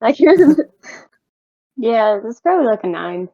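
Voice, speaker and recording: A woman's voice: fairly high pitch, very animated, takes it slowly, dark tone. A young woman co-hosting a podcast in casual, conversational talk. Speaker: podcast co-host. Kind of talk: casual chat. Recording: dull lo-fi audio.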